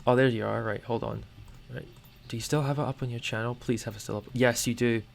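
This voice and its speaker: A man with a Scottish accent chatting on a podcast, quick to laugh. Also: mid-range voice, fairly even intonation, gravelly. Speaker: podcast co-host. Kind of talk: casual podcast chat. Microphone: new microphone, remote call.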